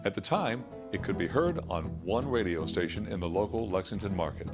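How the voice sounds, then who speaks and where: Animated male feature narrator. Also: sits low, fairly quick, over music. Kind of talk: scripted news feature narration.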